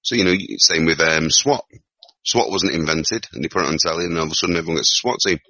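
A man with a Northern English accent and an extremely deep voice that still comes across forceful and bright. He talks in a loose, rambling way, restarting his phrases. The sound is dry and muffled, like a lo-fi recording. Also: fast talker, no echo. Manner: rambling, repeats words as he thinks aloud. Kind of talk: casual discussion.

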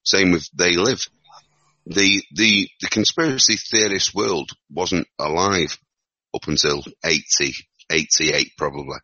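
Northern English man, very deep and forceful, rambling chat, muffled lo-fi mic. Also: a little slow, no echo. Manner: rambling, repeats words as he thinks aloud. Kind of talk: casual discussion. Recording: muffled lo-fi recording.

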